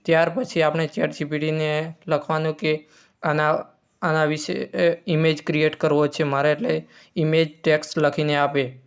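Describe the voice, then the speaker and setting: A man's voice: fairly high pitch, monotone, moderate pace, clear, resonant tone. A man hosting an informal step-by-step tutorial, using repetitive instructional phrasing. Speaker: tutorial host.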